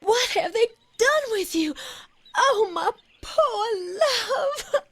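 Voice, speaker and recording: A distraught young sweetheart for a game. Her voice is extremely high and tearful, dragging out words very slowly. She implores with melodramatic tenderness. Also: lively intonation, fairly bright tone, heavily acted. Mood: shocked, grieving. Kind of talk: video game cutscene acting.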